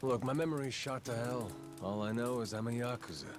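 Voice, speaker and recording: An American male actor playing a gruff, blunt but honorable yakuza with no memory, talking in rough slang in a video game cutscene. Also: medium pitch, brisk, close to an everyday voice. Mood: earnest, frank. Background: game music.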